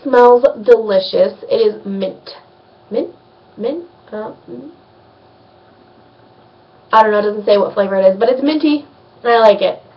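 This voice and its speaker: A woman's voice: medium pitch, measured pace, pauses often, distant mic, dull audio, a little hiss or hum. A young woman with a slight cold, chatting casually through a skincare vlog and rambling with plenty of ums. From United States. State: sniffly from a cold. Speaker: beauty vlogger.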